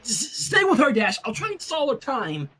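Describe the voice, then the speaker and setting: Man voicing a flustered, high-pitched teenage girl. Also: huge pitch swings, moderate pace, fairly bright tone, far from the mic, full character voice. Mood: panicked. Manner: urgent, stammering, huffy. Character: flustered, exasperated teenage girl minding a baby.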